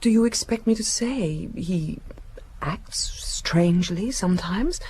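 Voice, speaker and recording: Radio drama: refined, lonely young woman, wary yet coquettish, unhurried low delivery, faint noise behind. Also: lively intonation, darkish tone. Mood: brooding, guarded. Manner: hesitant, refined, languid.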